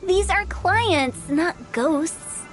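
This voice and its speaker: Very high playful young funeral director in a game, breezy, theatrical, loud music behind. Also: female voice, expressive, very slow, exaggerated voice. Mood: breezy, amused. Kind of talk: animated game character acting.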